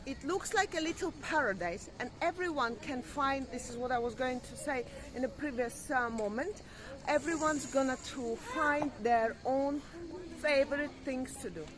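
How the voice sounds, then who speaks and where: A woman's voice: high voice, normal speed, low-quality recording. A woman with a non-native English accent co-hosting a vlog, enthusiastic and hunting for words. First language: not English. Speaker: vlog co-host. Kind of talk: casual vlog chat. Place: outdoor holiday resort. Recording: faint outdoor background noise.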